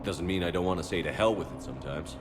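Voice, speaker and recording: Sci-fi game lead: male actor as stern, resolute commander, low-pitched and clipped, in an echoey hall over loud noise. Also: fast, over music, natural voice. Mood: steady, wry. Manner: terse, authoritative. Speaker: game protagonist. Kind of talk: cinematic video game dialogue.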